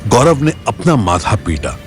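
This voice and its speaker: A male narrator tells a scripted dramatic story. His mid-pitched voice moves with lively intonation, over a light music bed. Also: a little slow. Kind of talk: scripted audio story narration in Hindi with English words.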